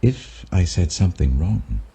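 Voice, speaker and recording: A British man voicing a calm, authoritative holy teacher, deliberate and earnest in a husky scripture reading. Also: exaggerated voice. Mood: earnest, challenging. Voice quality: deep, husky.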